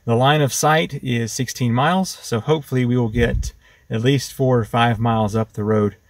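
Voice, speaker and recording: A man's voice: mid-range voice, fairly even intonation, moderate pace. Casual American man reviewing gear. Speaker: product reviewer. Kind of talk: casual hands-on product review.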